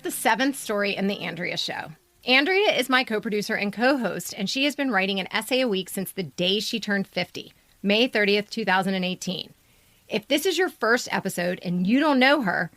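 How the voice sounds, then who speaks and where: A woman's voice: medium pitch, animated, normal speed, a bit bright, no echo. A woman co-hosting a podcast, delivering a scripted introduction to the show. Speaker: podcast co-host and editor.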